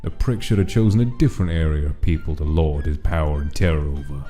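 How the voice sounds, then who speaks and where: A man's voice: very deep voice, quick pace, warm tone. A man reading a scripted, dramatic story as its narrator. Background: background music.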